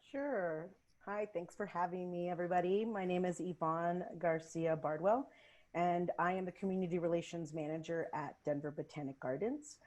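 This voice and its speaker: A woman's voice: fairly low pitch, steady tone, moderate pace. A woman from South Texas who is a community relations manager, introducing herself earnestly on her first panel. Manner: warm-hearted, earnest and a little nervous. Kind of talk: casual self-introduction on a panel.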